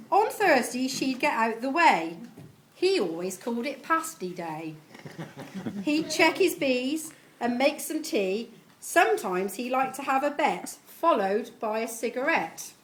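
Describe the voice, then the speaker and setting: Woman, middle-aged, slow delivery, animated pitch, reading verse to guests, noisy live recording. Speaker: family member reading a tribute poem at a party. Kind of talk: reading a homemade rhyming poem aloud to guests. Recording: live event recording with clear background noise.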